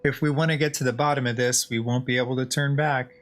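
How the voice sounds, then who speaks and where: A man's voice: mid-range voice, fairly even intonation, quick pace, background noise. A man streaming a game, chatting casually in a laid-back, rambling way. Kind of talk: casual chat while playing a game, reading lines aloud. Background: game music.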